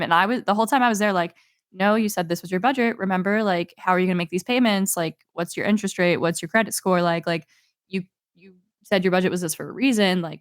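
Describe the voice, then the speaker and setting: A woman's voice: on the low side, no room sound, clean studio sound. Chatty young woman co-host. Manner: chatty, laughs often, animated storyteller. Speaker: podcast co-host. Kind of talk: casual chat.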